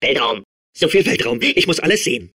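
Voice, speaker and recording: A man playing a manic, childlike robot sphere obsessed with outer space in a video game, babbling and repeating himself in a strained, husky voice. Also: fairly high pitch, brisk, heavily acted. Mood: frantic, eager. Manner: excitable, babbling, repeating words compulsively. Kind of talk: video game character voice clips.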